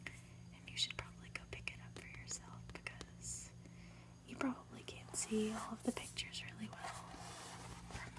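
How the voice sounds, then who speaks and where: A woman whispering softly and breathily in an ASMR style, gentle and unhurried, as a reader and host. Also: on the high side, flat delivery. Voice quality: breathy, mostly unvoiced whisper. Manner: soft, unhurried, gentle delivery. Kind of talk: whispered ASMR, storybook reading and soft chat.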